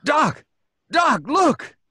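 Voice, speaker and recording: A man's voice: very high-pitched, big pitch swings, extremely slow, sharp tone, dry recording, studio quality, exaggerated voice. A man playing a fearful, superstitious rustic townsman who stammers, in an old-time radio horror play. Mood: terrified. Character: nervous, superstitious rustic townsman, reluctant sidekick.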